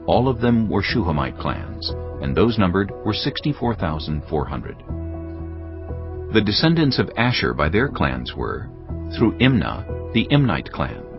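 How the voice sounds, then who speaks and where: A man narrating scripture from a script in a solemn, deliberate manner. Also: sits low, sing-song. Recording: slightly muffled, music underneath. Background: background music.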